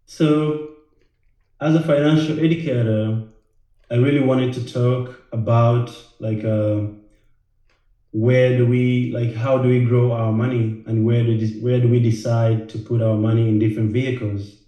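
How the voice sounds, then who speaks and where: A man from Rwanda, a financial educator, talking casually to the camera, halting with many fillers and repeated words. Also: mid-range voice, little pitch movement, mellow. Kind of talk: casual direct-to-camera talk. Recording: very echoey room.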